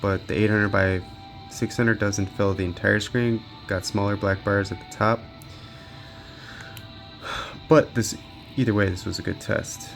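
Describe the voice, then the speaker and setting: A man's voice: fairly low pitch, steady tone, measured pace, gravelly, pauses often, faint music behind. A man giving casual, off-the-cuff commentary as he tests gaming gear, muttering to himself as he works. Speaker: gaming handheld reviewer.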